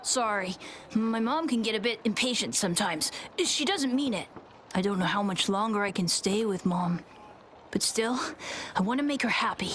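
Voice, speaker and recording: A woman playing a gentle, apologetic youngster devoted to a parent in a video game. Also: medium pitch, normal speed, a little hiss or hum, natural voice. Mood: wistful.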